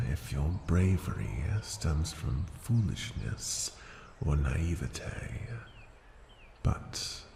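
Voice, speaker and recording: A man playing a proud, ancient dragon in an ASMR fantasy roleplay, speaking formally and deliberately in a gravelly, at times breathy voice. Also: extremely low pitch, warm tone, heavily acted. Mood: impressed, measured. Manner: slow, formal, deliberate, imperious. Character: ancient male dragon, proud, unhurried, intrigued by humans. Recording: cavernous long reverb, faint ambient noise.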